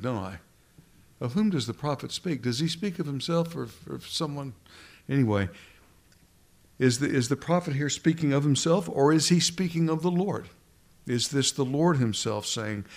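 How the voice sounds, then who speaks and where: A man preaching from scripture at a middle pitch, unhurried and thoughtful. He often stumbles and repeats words as he feels his way toward the next phrase, reading verses aloud between his reflections. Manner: halting, repeats words while searching for phrasing. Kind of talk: sermon.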